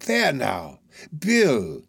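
A man voicing a crafty, wheedling old male fence in a storybook reading, slow and fawning. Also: medium pitch, expressive, dry recording, studio quality. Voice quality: oily, fawning, drawn-out.

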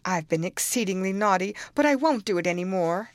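A woman doing a desperate young girl, high breathless pleas, dry close sound. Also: expressive, a little fast, dry recording, lightly acted. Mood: pleading. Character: distraught teenage girl, impulsive and pleading.

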